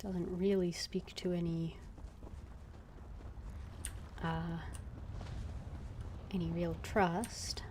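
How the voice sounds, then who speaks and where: A woman's voice: fairly low pitch, level tone, drawn-out words, long pauses, darkish tone, a little hiss or hum. A woman streaming a game, reading dialogue aloud and commenting on it.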